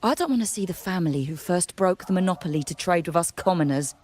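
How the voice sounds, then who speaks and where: A woman's voice: on the low side, expressive, fast, darkish tone. An actress playing a brusque, plain-spoken, wary but loyal woman trader in a video game, talking in a blunt, grumbling, informal way. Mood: blunt, skeptical.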